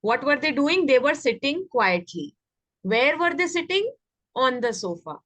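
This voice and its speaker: A woman teacher with an Indian accent and a fairly high voice leads a children's English class online. She is instructive, often repeating questions to prompt her pupils. The sound is dry, with little room echo. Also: sing-song, measured pace, a bit bright. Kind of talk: interactive online English lesson for young children.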